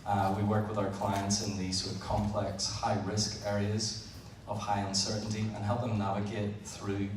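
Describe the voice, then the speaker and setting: A Northern Irish man presents to an audience in a large hall, with a low, flat voice that hardly rises or falls. He sounds far from the mic, and the room's echo and background noise come through clearly. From Northern Ireland. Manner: plain, a little halting, with frequent "uh" and repeated words. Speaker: engineer presenting at an event. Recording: roomy, distant event recording with background noise.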